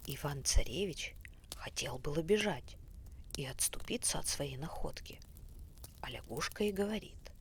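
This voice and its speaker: A woman narrating a bedtime fairy tale in a soft, often whispery, unhurried voice. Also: low voice, lively intonation. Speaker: storyteller. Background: faint fireplace crackle.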